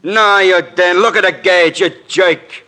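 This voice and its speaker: An actor playing a surly, dim gangster henchman in a radio drama, with gruff, slangy, ungrammatical talk. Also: high-pitched, fairly even intonation, unhurried. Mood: irritated, bossy. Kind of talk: 1950s radio drama acting. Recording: noisy old radio recording.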